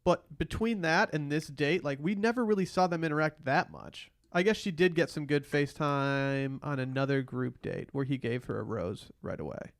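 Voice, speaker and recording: A man's voice: on the high side, normal speed, hardly any pauses. A thirty-year-old man co-hosting a podcast, chatting casually about a reality TV show. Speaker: podcast co-host. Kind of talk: casual chat recapping reality TV.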